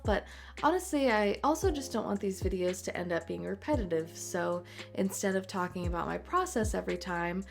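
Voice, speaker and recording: A woman in her late twenties, an artist and tattooer, chatting in a voice-over over a speedpaint, raspy and congested from a cold, talking softly with many ums. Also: medium pitch. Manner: soft, rambling, many ums and uhs. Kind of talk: voice-over chat during a speedpaint. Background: faint music.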